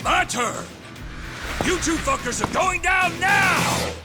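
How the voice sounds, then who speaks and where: An actor playing a brash, menacing gangster in a video game, with a gravelly voice and an aggressive, taunting delivery. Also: male voice, very high voice, lively intonation, takes it slowly, raised voice, over music, heavily acted. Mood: furious. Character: brash, menacing male gangster with explosive temper. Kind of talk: video game cutscene acting.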